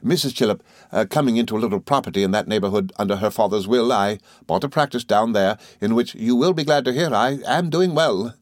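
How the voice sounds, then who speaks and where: A man voicing a meek, timid middle-aged country doctor who speaks slowly and apologetically, stammering and adding sir to nearly every phrase. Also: mid-range voice, no echo, lightly acted. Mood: meek, fluttered. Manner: slow, apologetic, hesitant, with deferential sirs.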